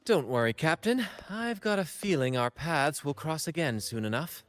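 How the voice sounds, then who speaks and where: A man's voice: on the high side, expressive, moderate pace, lightly acted. An actor playing a flamboyant, cocky young showman fighter in a video game, with a theatrical, teasing delivery. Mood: amused, teasing. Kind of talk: video game hero acting.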